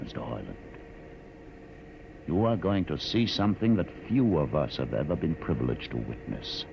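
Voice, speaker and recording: An actor in a vintage radio drama playing a learned, commanding rebel leader with a measured, grandiose, theatrical delivery. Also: male voice, sits low, gravelly, background noise, heavily acted. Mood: commanding, calm. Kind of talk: 1950s radio drama acting. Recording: dull, muffled vintage radio recording.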